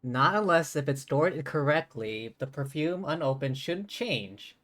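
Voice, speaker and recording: Low-voiced woman livestreamer musing aloud. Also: animated, measured pace. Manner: reacting and musing aloud, chatty. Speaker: video game livestreamer. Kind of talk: casual live commentary on a game. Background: game music.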